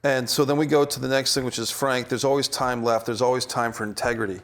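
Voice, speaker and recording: A man directing actors, giving feedback in deliberate, hesitant phrases in a studio. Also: medium pitch, fairly even intonation, a bit bright. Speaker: film director. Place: indoor studio.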